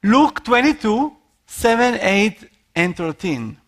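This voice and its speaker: A man from Israel with an Israeli accent, a missionary preaching a sermon in an earnest, expressive way. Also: sits high, takes it slowly.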